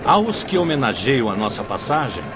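Man playing calm spirit guide, muffled recording. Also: mid-range voice, moderate pace, close to an everyday voice. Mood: calm, reassuring. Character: calm, reassuring male spirit guide leading a caravan. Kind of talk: radio drama acting. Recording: muffled, clear outdoor-like background noise.

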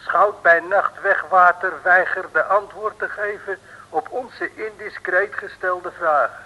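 An actor playing a servile military orderly, reporting dutifully in an old radio serial. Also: male voice, high-pitched, steady tone, slow, husky, dull audio, exaggerated voice. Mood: obedient. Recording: old radio recording, long reverb.

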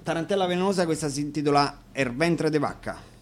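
A man's voice: on the high side, fairly quick. A man casually introducing songs at an acoustic radio concert, explaining them in an informal, wryly ironic way. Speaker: singer and band frontman introducing songs. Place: radio station, live acoustic performance.

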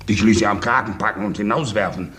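A man's voice: lowish voice, fast, a bit bright, slight background noise, close to an everyday voice. An actor playing a vain, stingy Russian nobleman, a count's brother, in a theatrical, boastful, mocking manner in a 1950s German radio play.

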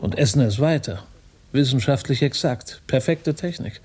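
A man acting a shaken radio journalist in a naturalistic radio play, speaking in a grave, deliberate, sardonic way. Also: medium pitch, expressive, darkish tone, slightly rough. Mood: bitter, sardonic. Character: shaken male radio journalist, bitterly recounting grim findings. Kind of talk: naturalistic radio drama dialogue.

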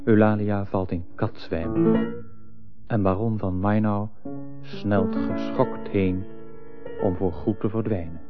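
A man giving a measured, deliberate scripted introduction to a radio drama as narrator. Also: lowish voice, steady tone. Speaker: announcer, narrator. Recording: dull lo-fi radio recording. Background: loud piano music.

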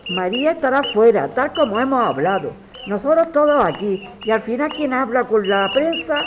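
A woman's voice: fairly high pitch, very animated, moderate pace. A woman playing an encouraging, matter-of-fact activist neighbour with a Spanish accent in an audio drama. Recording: clearly noisy ambience.

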